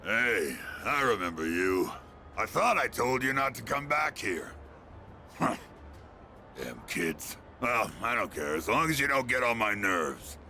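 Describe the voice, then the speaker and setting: A cranky old man in a video game, gravelly and piercingly bright. His scolding swings up and down with grouchy, folksy bite, over music. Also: lowish voice, very animated, measured pace, heavily acted. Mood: grumpy. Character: cranky old man, grumpy elder with rough affection.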